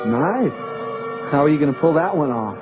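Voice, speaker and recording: A man's voice: on the high side, sing-song, normal speed. An actor playing an eager, earnest young rebel pilot who teases his friends. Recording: dull lo-fi 1980s record with music.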